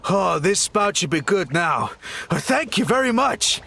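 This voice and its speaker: A man's voice: high voice, sing-song, unhurried, vocal fry, sharp tone, slight background noise, exaggerated voice. A man voicing a nervous, dithering, easily startled researcher in a video game, hesitant and jumpy. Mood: relieved.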